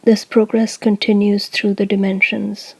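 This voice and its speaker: A woman speaking as a spiritual channeler, delivering a scripted discourse in a formal, measured, solemn manner. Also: mid-range voice, level tone, vocal fry, slightly rough, dry recording, poor audio. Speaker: channeler speaking as a group of light beings.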